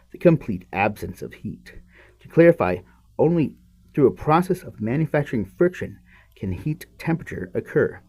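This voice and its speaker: A man hosting a podcast, reading a science text aloud in an emphatic, halting way with repeated words and offhand muttered asides. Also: fairly low pitch, warm tone, husky.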